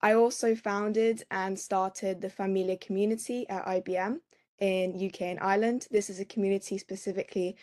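A woman's voice: medium pitch, fairly even intonation, moderate pace, darkish tone. Young woman, chatty guest. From United Kingdom. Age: young adult. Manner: chatty, with frequent "um"s. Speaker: podcast guest.

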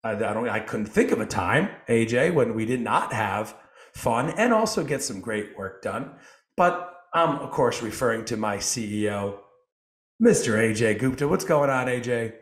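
A man's voice: medium pitch, animated, moderate pace. A man hosting a podcast, full of playful, self-mocking banter and showman-like flourishes. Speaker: podcast host. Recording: roomy echoey sound.

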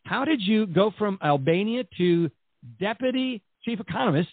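Male podcast host, lively and effusive, slightly husky voice, thin phone-like sound. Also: high voice, moderate pace, no room sound. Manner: enthusiastic, effusive. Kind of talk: interview podcast. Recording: dry, phone-like band.

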